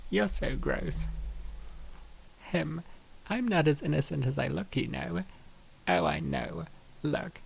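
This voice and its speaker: Casting: man as teasing college-age female roommates, raised pitch, heavy vocal fry, comic dub banter. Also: exaggerated voice, poor audio. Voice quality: raspy, creaky.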